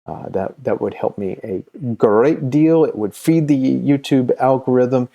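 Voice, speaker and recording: A middle-aged man talking casually to viewers as a video host, unscripted, with a halting, meandering delivery and long hesitations. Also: mid-range voice, vocal fry, dark tone, highs cut off. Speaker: host of a comics recommendation video channel.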